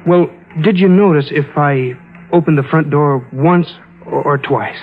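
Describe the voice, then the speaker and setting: An actor playing a troubled, tense husband in a vintage radio drama. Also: male voice, a little high, a little slow, soft background music, close to an everyday voice. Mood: weary, irritable. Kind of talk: 1940s-50s radio drama acting. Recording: muffled old radio recording.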